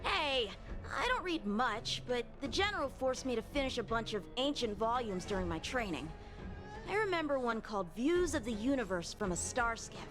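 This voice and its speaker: Casting: a candid young female game guide, high-pitched, very animated, music behind. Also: normal speed. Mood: chatty, bright. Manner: expressive, casual, candid. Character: lively, candid young woman military trainee guide. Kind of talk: video game voice acting.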